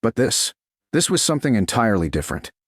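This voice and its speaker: A man narrating a romantic story as an audiobook, with dramatic, deliberate short phrases. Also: mid-range voice, very animated, slightly rough, no echo.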